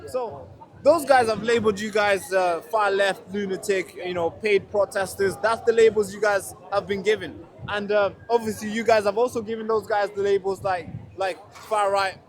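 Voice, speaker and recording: A man's voice: high voice, steady tone, moderate pace. A man born in a refugee camp in Tanzania, interviewing people at an outdoor protest in a friendly, questioning way. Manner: friendly, questioning, with fillers and restarts. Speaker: street interviewer. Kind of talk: casual street interview.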